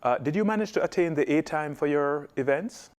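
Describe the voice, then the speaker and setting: A man's voice: a little high, a little fast, darkish tone. A man hosting a sports interview show, asking questions in a genial way and using local sayings. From Jamaica.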